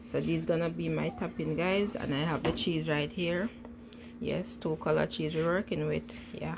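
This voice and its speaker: A woman's voice: sits low, creaky, noisy background. A woman with a stuffed-up cold, chatting casually through a home cooking demonstration and often saying "yes, guys.". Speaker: home cook vlogger.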